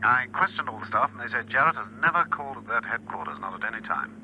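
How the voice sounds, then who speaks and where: An actor playing a suave, understated gentleman agent reporting matter-of-factly over a telephone in a radio drama. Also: medium pitch, very animated, quick pace. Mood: measured, suspicious. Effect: telephone filter. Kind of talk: spy radio drama acting. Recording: distant telephone line, faint noise.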